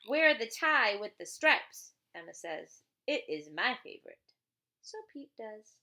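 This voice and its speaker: A woman's voice: medium pitch, sing-song, a little slow, pushed and bright. A woman reading a children's picture book aloud as a teacher, playful and chatty, with casual asides and questions to a young listener.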